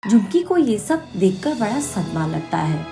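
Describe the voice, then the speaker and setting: A woman's voice: medium pitch, big pitch swings, a little slow. A woman narrating a scripted children's story as its storyteller. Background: music.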